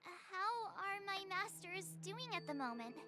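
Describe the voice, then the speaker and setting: A woman's voice: very high-pitched, big pitch swings, measured pace, exaggerated voice. A woman voicing a perky, easily indignant teenage girl adventurer in a video game. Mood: cheerful. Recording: game music behind.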